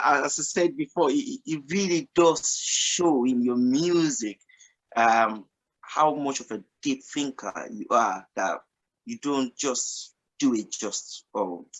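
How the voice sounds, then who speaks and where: A man's voice: mid-range voice, a little slow, low-quality recording. A man hosting a radio interview, asking loose rambling questions and reacting to the answers. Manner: rambling, restarts questions, warm laughs. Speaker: radio show host. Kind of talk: casual interview chat.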